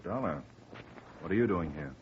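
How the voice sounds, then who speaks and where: A man's voice: deep voice, lively intonation, normal speed, slight background noise. An actor playing an indignant, defensive male murder suspect in a 1950s radio drama. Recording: dull old radio broadcast.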